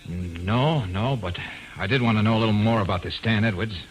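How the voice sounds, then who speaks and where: An actor playing a confident, wisecracking American private investigator in an old-time radio drama. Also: male voice, on the low side, brisk, lightly acted. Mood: probing, dry. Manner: breezy, needling, wisecracking. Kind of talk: 1940s-50s radio detective acting. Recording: noisy vintage radio drama recording.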